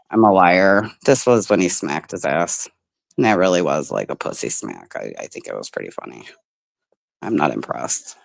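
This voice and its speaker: A woman's voice: rumbling low, creaky, dry recording. A woman from the Midwest of the United States hosting a casual livestream chat, talking loosely, swearing freely and laughing often. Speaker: livestream host.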